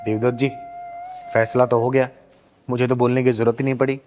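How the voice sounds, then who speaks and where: An assertive arbiter acted by a man with a medium-pitched voice. He speaks with authority in short-film melodrama, over faint music. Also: level tone, fairly quick, lightly acted. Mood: commanding.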